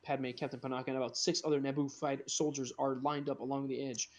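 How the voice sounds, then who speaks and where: A man's voice: mid-range voice, level tone, fast. A man narrating a script informally for an amateur comedy reading, sometimes stumbling over the words.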